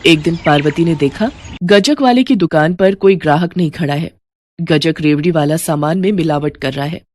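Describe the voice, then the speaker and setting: A woman's voice: low-pitched, moderate pace, a little mellow, no echo. A woman reading a scripted moral story as its narrator. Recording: music bed behind the voice.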